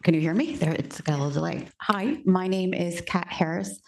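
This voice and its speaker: A woman's voice: on the low side, brisk, creaky. A woman from Marin, California, an accountant introducing herself on a panel in a plain, friendly way.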